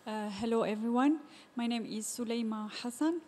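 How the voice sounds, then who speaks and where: A woman from Syria, a master's student, speaking softly and hesitantly. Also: on the high side, normal speed. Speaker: student panelist. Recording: distant mic with faint noise.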